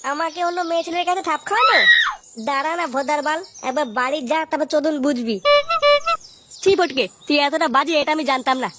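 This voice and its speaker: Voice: high voice, fast, loud music behind the voice, natural voice. A voice actor playing a sneaky, cursing young village rascal in a comedy cartoon. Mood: mocking, spiteful. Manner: crude, cursing, cheeky. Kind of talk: exaggerated comic cartoon dubbing.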